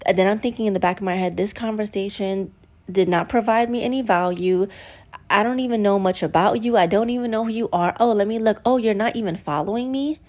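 A woman's voice: mid-range voice, fairly quick, a little mellow. A woman hosting a solo podcast in a casual, candid way. She is a little congested and sniffly and often acts out what people say. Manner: chatty, candid, acts out quoted voices. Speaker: podcast host.

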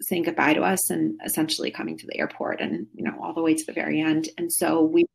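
A middle-aged woman on a podcast call tells her story in a loose, rambling way full of fillers and restarts. Her voice sits low, with a breathy, husky grain and a steady gravelly fry underneath. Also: fast talker. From Russia. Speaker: podcast guest. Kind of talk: casual remote interview. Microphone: phone or video call.

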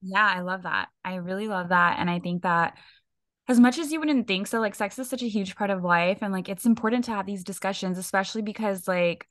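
Young woman co-host, chatty and loose, mid pitch, dry close sound. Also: fairly quick, a bit bright, no echo. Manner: chatty, lots of likes and laughs. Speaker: podcast co-host. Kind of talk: casual chat.

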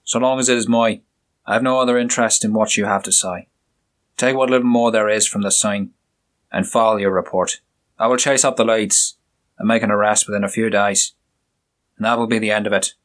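A man's voice: fairly low pitch, steady tone, a little fast, a bit bright, close to an everyday voice. A man doing a curt, haughty police inspector. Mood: stern. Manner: curt, commanding, condescending. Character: stern, dismissive police inspector, middle-aged man.

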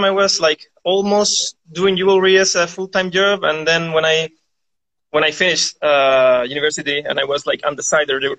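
A man's voice: fairly high pitch, pushed and bright, low-quality recording. A man from Chile, chatting casually as an interview guest in a rambling way, often saying "like". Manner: rambling, peppered with "like". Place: live video call.

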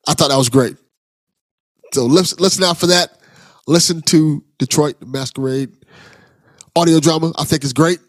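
A man's voice: a little high, normal speed, long pauses, no room sound, studio quality. A man hosting a podcast in casual interview chat, effusive and encouraging toward his guest. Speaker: podcast host.

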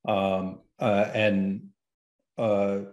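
A man's voice: on the low side, little pitch movement, very slow, a little mellow, reverberant. A man talking casually and technically about gaming, explaining in an informal, hesitant way as a guest analyst.

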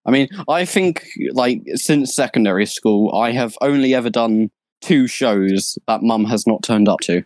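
A man's voice: medium pitch, a little slow, darkish tone, no echo. A young man co-hosting a podcast, telling stories casually with stumbles and frequent laughter. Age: young adult. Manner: stumbling, giggly. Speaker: podcast co-host. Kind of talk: casual chat.

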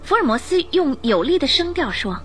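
语调生动的女旁白，讲广播剧故事. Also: female voice, high voice, big pitch swings, a little fast, faint music behind. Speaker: narrator. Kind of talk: scripted dramatic story narration for radio.

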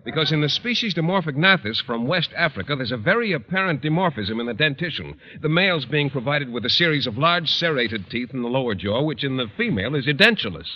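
An American actor playing a shy, literal-minded biology teacher in a radio comedy, earnest and hesitant, slipping into pedantic explanation. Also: male voice, mid-range voice, expressive, quick pace, hardly any pauses, close to an everyday voice. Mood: amazed, pedantic. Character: bashful, naive, earnest male biology teacher. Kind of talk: old-time radio comedy acting. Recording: dull, noisy vintage radio broadcast recording.

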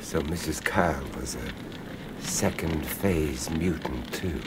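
An actor playing a grave, inquisitive investigator with theatrical delivery in a radio drama. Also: male voice, a bass voice, slow pace, heavy background noise. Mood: grave realisation.

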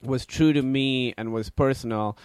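Man, Pakistani accent, thirties, loose rambling podcast talk, mid-pitched, dry close mic. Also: steady tone, moderate pace, darkish tone, clean tone, no room sound. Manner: rambling, lots of "like" and restarts. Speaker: podcast guest, comedian. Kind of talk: casual interview chat.

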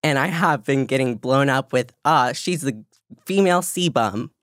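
A young woman with a low voice and a slight lisp, co-hosting a podcast in a candid, self-deprecating way and laughing often. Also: expressive, measured pace, dry recording, studio quality. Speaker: podcast co-host. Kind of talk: casual podcast chat.

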